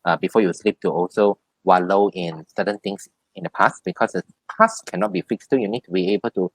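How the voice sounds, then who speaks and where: A deep-voiced man speaks as a tarot reader, offering guidance in a one-on-one session. He explains haltingly, circling back over his phrases, and the recording is dry and close. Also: dry recording, poor audio. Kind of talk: spiritual advice in a one-on-one reading.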